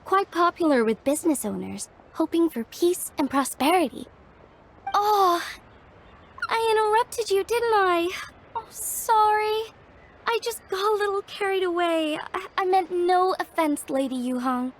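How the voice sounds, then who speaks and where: High-voiced, eager scholarly craftswoman. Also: a little slow, a little hiss or hum. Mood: flustered, excited. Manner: courteous, formal, enthusiastic. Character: polite, eager scholarly craftswoman, easily carried away. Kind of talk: animated game dialogue.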